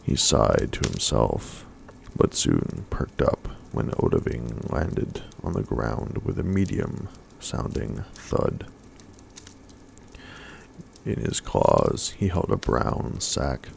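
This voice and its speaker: A man reading a fantasy story aloud in a soft-spoken ASMR style. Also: a bass voice, sing-song, slow, vocal fry, slight background noise, low-quality recording.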